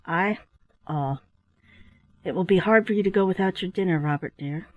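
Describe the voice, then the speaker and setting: A woman voicing an old, frail mother who speaks in a soft, quiet, resigned way in a storybook reading. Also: lowish voice, normal speed, muffled recording, close to an everyday voice. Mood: gentle.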